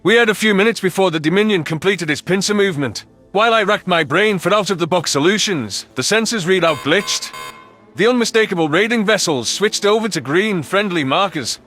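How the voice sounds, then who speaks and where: A man's voice: sits high, expressive, brisk, a bit bright, soft background music. A man reading scripted first-person science fiction narration as an audiobook narrator.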